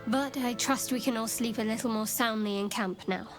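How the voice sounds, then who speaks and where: A woman playing a guarded, sharp-tongued adventurer in a video game, with a dry, pointed, composed delivery. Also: a little high, moderate pace, music in the background, natural voice. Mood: wary, conciliatory. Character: guarded, sardonic young female adventurer, sharp-tongued and steely.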